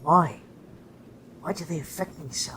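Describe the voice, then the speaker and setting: A man's voice: a little high, a little slow, close to an everyday voice. Man acting an earnest teenage schoolboy, noisy recording. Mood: troubled. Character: Harry, anxious, insistent teenage schoolboy wizard. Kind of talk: audio drama acting.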